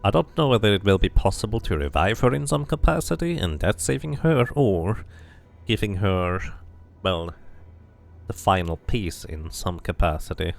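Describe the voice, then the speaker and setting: Deep gravelly guy reading out a game and chatting about it, really varied intonation. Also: animated, normal speed. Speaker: game streamer. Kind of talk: casual playthrough commentary and expressive read-aloud narration.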